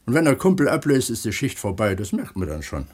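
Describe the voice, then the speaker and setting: Husky, plain-spoken pit worker. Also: male voice, medium pitch, big pitch swings, a little mellow. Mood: calm, content. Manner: unhurried, down-to-earth, colloquial. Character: plain-spoken, contented male mine pump attendant who writes. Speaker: actor in radio drama. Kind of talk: 1970s East German radio play acting.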